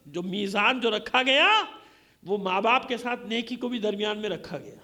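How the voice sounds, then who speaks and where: A man's voice: extremely high pitch, gravelly, pushed and bright. A man preaching an impassioned majlis sermon in a combative, declamatory way, using rhetorical questions and emphatic repetition. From Pakistan.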